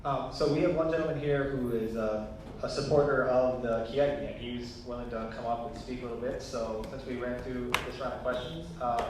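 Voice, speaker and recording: A man's voice: medium pitch, fairly even intonation, a little fast, hardly any pauses. Man moderating in an echoey hall. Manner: informal, directing. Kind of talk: moderating a panel. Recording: echoey room, far-off mic, noisy.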